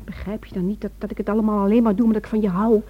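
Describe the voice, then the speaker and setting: A woman's voice: mid-range voice, little pitch movement, very fast, dull audio, a little hiss or hum, lightly acted. An actress playing an anxious, insistent, loving wife in a radio play, pressing her case with slight hesitations. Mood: anxious, defensive.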